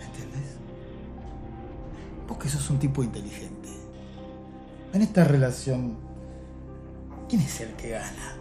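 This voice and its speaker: An actor with a Rioplatense Argentine accent playing a menacing, deliberate man who lectures in rhetorical questions in a film drama. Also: mid-range voice, expressive. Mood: menacing, lecturing. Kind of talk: film drama acting, philosophizing monologue. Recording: echoey film scene with music behind.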